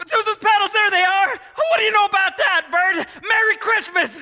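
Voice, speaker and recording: A man's voice: normal speed, bright, forceful tone, exaggerated voice. A man playing an emotional family man in an old-time radio play, exclaiming and repeating himself. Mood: giddy joy. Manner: highly emotional, exclaiming, repetitive. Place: live stage in a hall. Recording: echoey hall, live stage taping.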